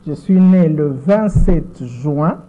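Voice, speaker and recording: A Congolese woman in her sixties answers an interviewer's questions in French with a Congolese accent. She talks in a measured way, repeating words as she searches for them. The sound is thin and boxy, like a voice over a phone line. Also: low-pitched, expressive, warm tone, a little hiss or hum. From Democratic Republic of the Congo. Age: 60s. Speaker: interview guest, lawyer.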